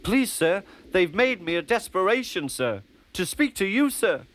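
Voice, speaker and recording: A man's voice: sits high, sing-song, a little slow, a bit bright, dry recording, pitched above the performer's own voice, exaggerated voice. A man voicing a timid young male tank engine who stammers nervously and keeps saying "sir". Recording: music playing behind.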